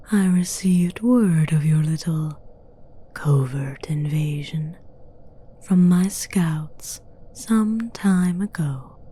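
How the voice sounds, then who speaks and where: A woman's voice: low-pitched, dark tone, heavily acted. A woman playing a menacing, mocking demoness queen in a whispered, condescending ASMR roleplay. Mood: smug, mocking. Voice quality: breathy whisper. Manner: slow, deliberate, condescending.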